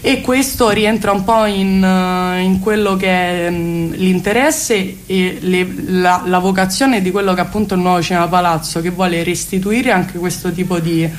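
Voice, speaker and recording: A woman's voice: lowish voice, steady tone, normal speed, few pauses. A woman explains things as a radio interview guest, discursive and often rephrasing herself. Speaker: guest representing a cultural collective. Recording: faint background noise and ambience.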